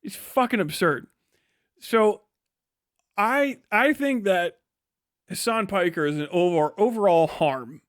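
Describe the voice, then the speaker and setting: A man's voice: sits high, animated, takes it slowly, pauses often, dry recording, studio quality. A man giving casual, ranting live-stream political commentary in a profane, scornful, emphatic way, often repeating phrases for emphasis.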